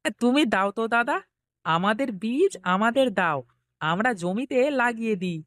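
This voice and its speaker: A woman's voice: a little high, animated, unhurried, a bit bright, no echo. A woman voicing a younger village farmer in a cartoon, eager, respectful and hopeful, with small hesitant lead-in sounds. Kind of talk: Bengali cartoon story dialogue.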